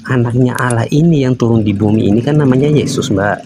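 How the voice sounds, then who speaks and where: A man of middle pitch speaks over a voice call with a faint hiss of noise behind him. He talks haltingly, restarting phrases and tagging questions to check for agreement. His tone is patient and probing. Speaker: caller questioning a guest. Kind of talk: live call-in debate.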